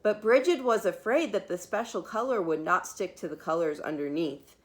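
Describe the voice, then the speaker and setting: A woman reading a children's book aloud like a preschool teacher, expressive and emphatic, with dramatic pauses and small asides to her young listeners. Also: mid-range voice. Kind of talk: animated read-aloud storytelling for young children.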